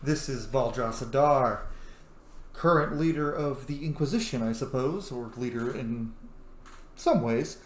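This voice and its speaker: A man's voice: medium pitch, measured pace. A man hosting a gaming series with casual, informal commentary and rambling asides. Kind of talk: casual let's-play commentary. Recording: echoey room, faint noise.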